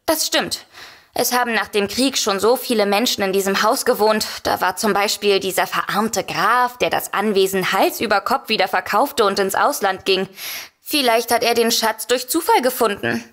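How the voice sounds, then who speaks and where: A woman's voice: mid-range voice, normal speed, a bit bright, lightly acted. An actress playing a polite young female client in a comedy mystery audio drama. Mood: pondering.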